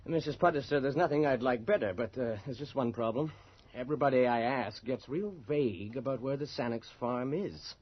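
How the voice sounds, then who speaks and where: A man's voice: medium pitch, fairly quick, husky, lightly acted. A male actor playing a courteous, ingratiating and persistent newspaper reporter in a radio drama. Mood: polite, probing. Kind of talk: 1980s radio drama acting. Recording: muffled old radio broadcast.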